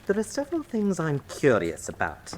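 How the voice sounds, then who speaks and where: An actor playing an urbane, sardonic villainous colonel in a radio drama, suave, theatrical and mocking in manner. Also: male voice, on the high side, huge pitch swings, normal speed, darkish tone, a little hiss or hum. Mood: sardonic, courteous.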